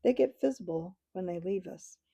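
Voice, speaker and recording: A woman voicing a boastful, long-winded rustic male chief of invisible folk in a storybook reading, with a husky, gravelly voice. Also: fairly low pitch, expressive, normal speed, warm tone. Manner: folksy, long-winded, dialect-heavy.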